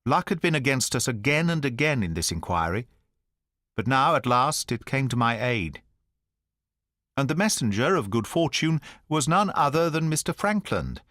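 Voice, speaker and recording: A man narrating an audiobook in the first person, at a measured, even storytelling pace. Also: mid-range voice, dry recording, studio quality.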